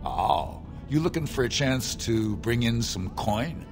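A shady dwarf smuggler. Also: male voice, expressive, slow pace. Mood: wheedling. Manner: sly, persuasive sales pitch. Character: shady middle-aged male dwarf smuggler, wheedling. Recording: game audio with music behind.

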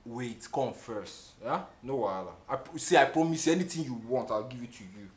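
A Nigerian man playing a brash, smooth-talking, gold-digging boyfriend in a film drama, emphatic and given to repeating himself. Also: medium pitch, expressive, fairly quick, natural voice. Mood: sweet-talking, coaxing. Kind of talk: film drama acting, mixing English and Nigerian Pidgin.

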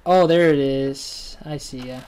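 A man's voice: medium pitch, steady tone, a little slow, crowd noise. A young man with a Chinese accent giving casual, rambling commentary while playing a game, often muttering to himself and correcting himself. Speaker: gaming channel host. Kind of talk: casual let's-play commentary.

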